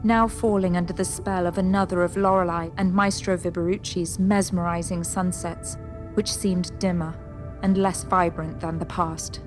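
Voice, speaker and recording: A woman's voice: fairly low pitch, fairly even intonation, normal speed, faint music behind. A woman narrator reading scripted, descriptive narration for an audio drama.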